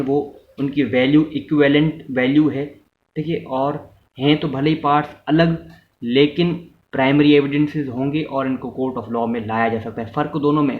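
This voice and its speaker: A man with a Pakistani accent giving an explanatory law lecture, mixing in English terms and restating each point in a didactic way. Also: mid-range voice. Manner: didactic, repeats and rephrases points, checks in with listeners. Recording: muffled, roomy echo, background noise.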